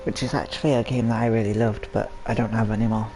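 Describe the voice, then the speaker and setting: A man with a cold, hoarse and phlegmy, rambling through casual gameplay commentary and clearing his throat now and then. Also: on the low side, flat delivery, fast, rough recording. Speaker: gaming channel host. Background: video game music.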